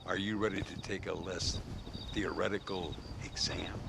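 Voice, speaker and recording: Gruff, gravelly frontier companion. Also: male voice, low-pitched, steady tone, normal speed, background noise. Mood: wry. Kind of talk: video game character acting.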